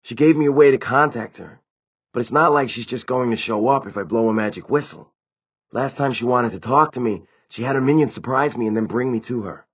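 Male narrator as teenage vampire, anxious, earnest, defensive, emphatic, raised pitch, slightly muffled audiobook recording. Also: a little fast, breathy edge, natural voice. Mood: urgent.

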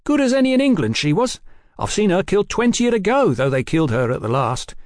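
A man's voice: high voice, big pitch swings, dry recording, clean studio sound, voice pushed up. A man voicing an eager, chatty young waiter in an old pub, in a storybook reading. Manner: eager, rapid working-class chatter.

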